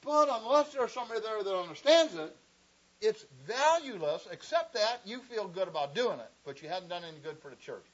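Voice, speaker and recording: Man, Bible class teacher, high-pitched and chatty, dull lo-fi recording. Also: animated, brisk, a bit bright. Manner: conversational, chatty asides with the class.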